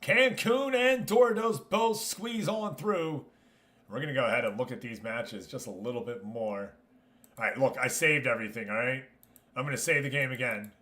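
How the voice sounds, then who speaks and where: A man's voice: mid-range voice, moderate pace, a bit bright. A man hosting a livestream, giving casual game commentary and chatting informally with viewers. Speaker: livestream host.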